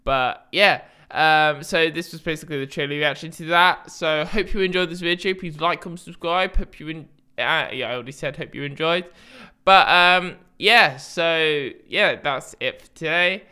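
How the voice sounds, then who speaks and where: A man's voice: on the high side, moderate pace, few pauses, pushed and bright, smooth. A young man giving casual reaction commentary in a hesitant, rambling, repetitive way. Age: young adult. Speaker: video host reacting to a game trailer. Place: small panelled room at home. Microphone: desk microphone on a boom arm.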